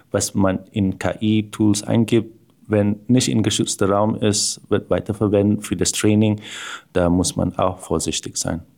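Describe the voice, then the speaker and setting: A man's voice: on the low side, gravelly, a little mellow. A male marketing employee answering interview questions in his own unscripted words.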